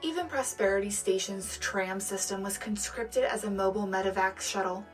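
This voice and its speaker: A woman's voice: mid-range voice, normal speed, soft background music, lightly acted. A woman playing an earnest, patriotic wartime radio co-anchor, reading scripted news in a sincere, dramatic way. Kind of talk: scripted newscast narration.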